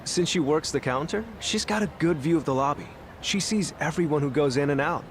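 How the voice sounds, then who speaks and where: A man's voice: a little high, a little fast, lightly acted. An actor playing a friendly, casual, talkative man on the street in an English-dubbed video game. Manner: casual, helpful. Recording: faint outdoor city noise behind.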